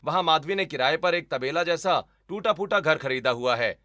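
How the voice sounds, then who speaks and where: A man's voice: on the high side, normal speed, sharp tone, no echo. A man giving scripted, dramatic narration of a story as its narrator.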